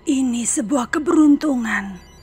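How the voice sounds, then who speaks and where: A woman voicing a calm, self-assured psychic in a horror cartoon, speaking in a measured, often hushed way. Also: sits high, faint music behind. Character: calm female psychic, middle-aged, sure of herself. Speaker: paranormal.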